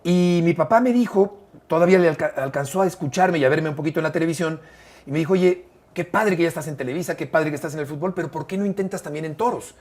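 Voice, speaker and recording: Man, fifties, Mexican accent, fairly high voice, expressive storyteller, emphatic. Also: fast. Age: 50s. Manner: expressive, emphatic delivery with frequent "eh" fillers. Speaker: sports commentator guest. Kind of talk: conversational interview storytelling.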